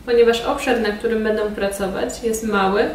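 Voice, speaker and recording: A woman's voice: on the high side, moderate pace. A woman instructor narrating a hands-on treatment demonstration step by step. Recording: distant, echoey room with loud steady noise.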